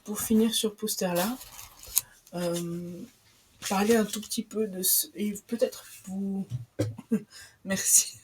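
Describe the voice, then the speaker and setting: A woman's voice: mid-range voice, steady tone, slow pace, long pauses, background noise. A young woman on a radio book show, talking about a book with many fillers and restarts. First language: not Italian. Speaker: guest presenting a book. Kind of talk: live radio book chat.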